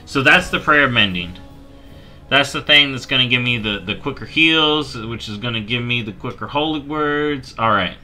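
A man's voice: mid-range voice, animated, normal speed, sharp tone, soft background music. A man in his thirties on a headset, reading game tooltips aloud on a live stream and reacting with playful mock outrage. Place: small dark room at home.

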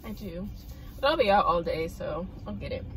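A woman's voice: lowish voice, animated, normal speed, a bit bright, slight background noise. A young adult woman giving her frank, opinionated take in a casual food review chat from a parked car. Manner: candid, opinionated, laid-back. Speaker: host's daughter, co-reviewer. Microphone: phone camera on the dashboard, a bit distant. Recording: slightly distant phone mic in a car.

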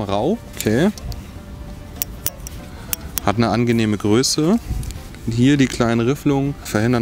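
A young man giving a casual hands-on review of outdoor gear, outdoors in a bare forest, thinking aloud as he tries things out. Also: on the low side.

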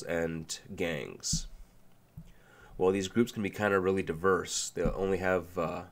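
Male host, deep and gravelly, nasal from a cold, relaxed and a bit rambling. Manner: relaxed, loose with fillers and restarts. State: nasal from a sinus flu. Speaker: video essay host. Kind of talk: casual explainer narration.

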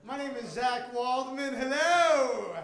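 High-pitched American male stand-up comic. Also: slow pace, a bit bright. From Miami, Florida, United States. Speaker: stand-up comedian and magician. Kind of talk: stand-up comedy routine. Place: indoor comedy club stage. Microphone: handheld microphone. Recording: echoey comedy club with audience. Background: live audience.

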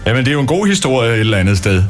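A man's voice: mid-range voice, big pitch swings, music in the background. A man hosting a casual morning radio interview from a small studio, talking into a studio microphone. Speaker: radio host.

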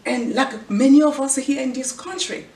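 A woman's voice: sits high, normal speed, darkish tone. A middle-aged woman from the Congo with a Congolese accent, giving an earnest, preachy motivational talk to camera and often repeating a rhetorical question. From Congo, Central Africa. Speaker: fashion vlogger and clothing designer. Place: indoor room with clothing rack. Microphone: far camera. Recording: echoey room from far off.

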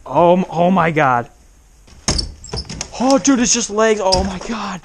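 Young guy exploring on camera, kinda high voice, reacts out loud, lots of noise. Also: expressive, takes it slowly. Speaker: urban exploration vlogger. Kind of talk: casual chat while exploring. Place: dark abandoned haunted attraction, indoors and out. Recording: noisy handheld camera audio.

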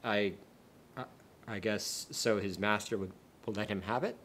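A man in his thirties co-hosting a beer tasting show with animated, informal banter. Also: lowish voice, moderate pace, slight background noise. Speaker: beer review show co-host. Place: small indoor set with curtain backdrop, seated.

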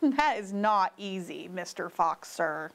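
A woman's voice: on the low side, expressive, slow pace, a bit bright, pitched below the performer's own voice, full character voice. A woman voicing a polite, flustered male storybook creature who pleads and complains in exasperation. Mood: weary protest.

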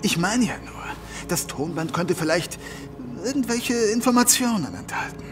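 An actor playing a gruff, threatening but doubting henchman in a German audio drama. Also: sits high, lively intonation, normal speed, over music. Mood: doubtful.

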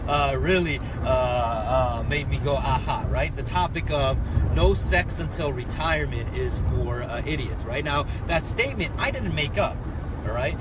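A man in his thirties talks straight into a phone at arm's length from the driver's seat of a car. His voice sits at an ordinary middle pitch, and he rambles in a casual way with plenty of "uh"s and "right?"s. A faint hum hangs behind him. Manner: chatty, many fillers and rhetorical "right?". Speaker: real estate investor and vlogger. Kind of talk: casual live-stream monologue. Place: inside a parked car. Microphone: phone held at arm's length.

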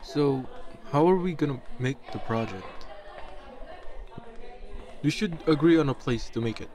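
A man voicing a shy, awkward high school boy in an audio roleplay, hesitant, self-conscious and stammering. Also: lively intonation, a little slow, dark tone. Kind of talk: amateur audio roleplay acting.

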